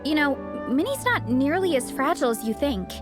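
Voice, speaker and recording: An actress playing an earnest, protective young athletic team captain in a video game, frank and informal. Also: female voice, high-pitched, sing-song, fairly quick, music in the background. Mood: earnest, reassuring.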